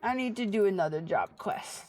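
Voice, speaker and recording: A woman is streaming a game and chatting with her viewers in an informal, playful way. Her mid-pitched voice swoops around in lively melody, yet she tends to speak unhurriedly. She swears casually, and faint music plays behind her. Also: normal speed. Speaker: livestreamer playing an online game. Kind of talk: casual chat with viewers during gameplay.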